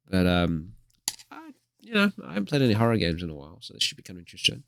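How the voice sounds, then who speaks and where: A man with a slightly croaky voice from a cold, giving hesitant, rambling let's play commentary as a gaming video host. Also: lowish voice, huge pitch swings, fast talker, a bit bright, slight background noise. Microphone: desktop USB microphone.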